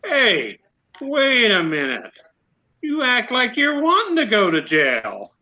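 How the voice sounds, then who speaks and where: An actor playing an excitable, blustering police sergeant who barks repeated orders in an old-time radio comedy. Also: male voice, very high-pitched, slow, exaggerated voice. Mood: stern, puzzled. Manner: barking, repetitive orders.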